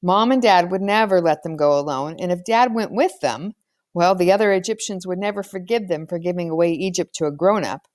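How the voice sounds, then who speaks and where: A woman narrating a children's novel aloud as an expressive storyteller. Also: on the low side, a little fast, no echo. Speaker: audiobook reader.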